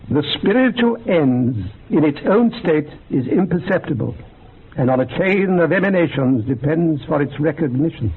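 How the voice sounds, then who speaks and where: An actor playing an earnest, bookish occult researcher in a radio drama, lecturing in a measured, gravelly, husky voice. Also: male voice, fairly high pitch, heavily acted. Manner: measured, lecturing, quotes texts with relish. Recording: muffled phone-like old radio recording.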